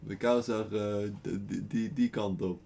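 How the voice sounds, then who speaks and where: A man's voice: on the low side, steady tone, measured pace, creaky, warm tone, slight background noise. A young man from the Netherlands with a Dutch accent, chatting playfully and rambling while streaming a game, laughing often. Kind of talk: casual gaming stream chat.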